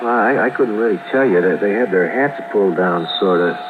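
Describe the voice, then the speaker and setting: An actor playing a chatty, hesitant elevator operator, eager to help, in a 1950s radio drama. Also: male voice, lowish voice, fairly quick, lightly acted. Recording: noisy, phone-like old radio recording.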